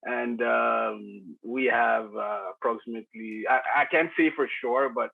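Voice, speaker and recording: A man's voice: mid-range voice, fairly even intonation, unhurried, vocal fry. A man in his thirties from Nigeria, telling his story as a podcast guest with many restarts and fillers and frequent chuckles. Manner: chuckles often, hesitant restarts, many "you know" fillers. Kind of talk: casual conversational storytelling. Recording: tinny phone-like line.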